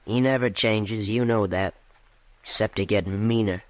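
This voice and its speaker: An American actor playing a defensive, resentful young farm son in a radio western, with a rural accent and an evasive, clipped manner. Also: male voice, on the low side, fairly even intonation, unhurried, no room sound, close to an everyday voice. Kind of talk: 1950s radio western acting.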